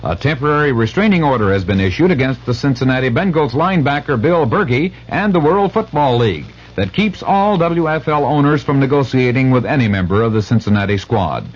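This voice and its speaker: Lively American male sports newsreader. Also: mid-range voice, brisk, few pauses. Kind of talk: scripted 1970s radio sports report. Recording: muffled vintage radio.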